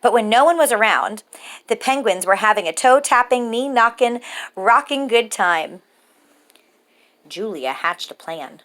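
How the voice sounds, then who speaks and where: A woman storyteller reading a children's picture book aloud with measured, rhythmic, expressive phrasing for young listeners. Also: fairly high pitch.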